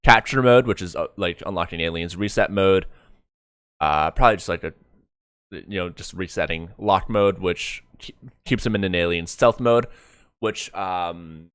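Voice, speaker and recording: Low, clear-voiced man reacting on stream. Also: a bit bright, dry recording, clean studio sound. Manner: halting, repeats words mid-thought. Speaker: streamer reviewing a comic. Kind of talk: casual live reaction commentary.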